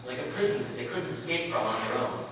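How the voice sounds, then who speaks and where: A man's voice: fairly high pitch, animated, fast talker, recorded from a distance, close to an everyday voice. A man playing a resolute, devout missionary pilot and father in a readers theater piece. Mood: determined. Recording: echoey hall from afar, faint noise.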